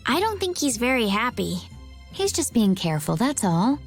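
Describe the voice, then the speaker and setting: A woman voicing a gentle, polite, hopeful young woman healer in a video game dub. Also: on the high side, sing-song, moderate pace, soft background music. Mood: reassuring.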